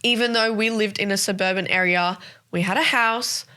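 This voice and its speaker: A woman's voice: medium pitch, steady tone, moderate pace, sharp tone. A woman in her twenties with an Australian accent, from South Africa, Afrikaans her first language, chatting casually as a podcast host and filling her talk with "like". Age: 20s. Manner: chatty, many "like" fillers. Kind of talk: casual chat.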